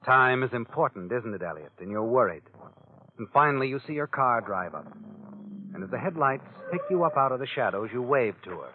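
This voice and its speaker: A man's voice: mid-range voice, big pitch swings, a little fast, noisy background, close to an everyday voice. Sardonic, taunting old-radio narrator played by a man, swooping delivery. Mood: sly, taunting. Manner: taunting, insinuating second-person storytelling. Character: sardonic, all-knowing, taunting male narrator of crime tales. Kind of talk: 1940s radio crime drama narration. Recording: tinny old radio broadcast with music.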